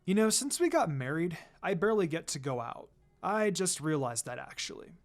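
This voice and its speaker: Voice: mid-range voice, big pitch swings, moderate pace, faint music behind. A narrator voicing a confident, teasing young ninja woman, smug and playful, in a read-aloud story.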